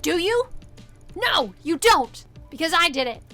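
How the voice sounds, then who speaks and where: An actress playing a grouchy, aggrieved, grudge-holding sister in an audio drama. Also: female voice, very high-pitched, sing-song, a little slow, fairly bright tone, faint music behind, heavily acted.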